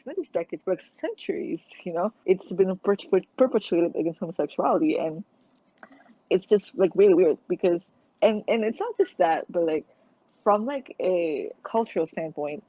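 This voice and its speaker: A woman's voice: lowish voice, dark tone, no echo. A young woman from Malaysia in a casual interview, talking earnestly and hesitantly with many fillers and restarts. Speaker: guest. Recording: tinny phone-like line, dry.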